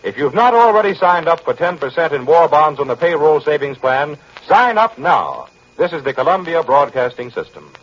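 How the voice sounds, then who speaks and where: A man's voice: fairly high pitch, sing-song, normal speed. A man reading a scripted wartime radio commercial in an earnest, persuasive way. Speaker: radio announcer. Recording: muffled 1940s radio broadcast.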